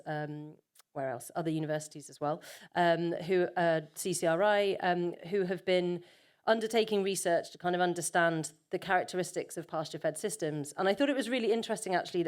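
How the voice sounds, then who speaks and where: Woman speaker at a panel, lowish voice, lots of ums, chatty and a bit rambly. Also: a little mellow. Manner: conversational, many filler ums, self-correcting. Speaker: conference panel speaker, farmer. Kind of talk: conference talk with slides.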